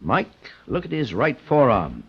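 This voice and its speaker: An American man playing a famous private investigator in an old radio drama, speaking in a formal, measured, authoritative way. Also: medium pitch, wildly swooping pitch, gravelly, husky, full character voice. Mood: grave, accusing. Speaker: actor in radio detective serial. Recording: muffled old radio recording.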